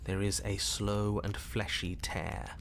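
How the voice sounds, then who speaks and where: An actor playing a stern, disdainful narrator of a dark fairy tale, grave and deliberate, in dramatic game narration. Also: male voice, lowish voice, level tone, gravelly, a little hiss or hum, full character voice. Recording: music behind the voice.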